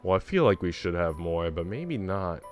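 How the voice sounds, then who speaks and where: A man's voice: sits low, very animated, normal speed. A man chatting casually on a game livestream in an informal, slangy, rambling way. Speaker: game streamer. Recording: music playing behind the voice. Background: music.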